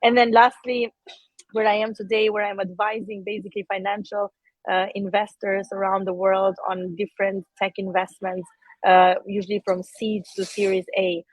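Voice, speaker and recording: Guest speaker, woman in her 30s, measured, mid pitch, dry sound. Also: level tone, no echo, low-quality recording. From Albania. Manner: measured, with frequent filler sounds and restarts. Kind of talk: career story in a conversational interview.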